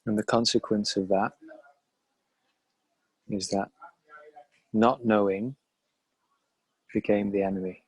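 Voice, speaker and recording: Male meditation guide: calm and slow, low register, little melodic movement, close dry sound. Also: breathy edge, no echo, low-quality recording. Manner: calm, unhurried, measured phrases.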